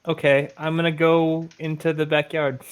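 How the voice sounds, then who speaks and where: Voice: medium pitch, level tone, normal speed, smooth. A player at an online tabletop game, casually describing their character's moves and asking the game master questions.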